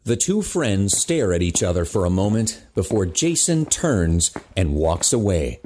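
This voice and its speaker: A man with a raspy voice reading scripted narration for an audio drama. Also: low-pitched, big pitch swings, measured pace, a little mellow.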